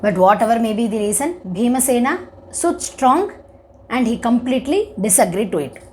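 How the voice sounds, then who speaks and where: Expressive high-voiced Indian woman storyteller. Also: moderate pace, a little hiss or hum. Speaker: storyteller giving religious discourse. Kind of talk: devotional moral storytelling.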